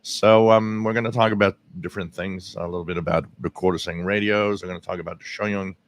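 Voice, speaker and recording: A man from Quebec, Canada, hosting a casual live radio-hobby stream with a scratchy, hoarse voice, rambling with lots of fillers, recorded on a directional mic at home. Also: deep voice, steady tone, fairly quick. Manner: rambling, many fillers and restarts. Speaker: radio hobby livestream host. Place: home radio room with air conditioning running. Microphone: directional microphone.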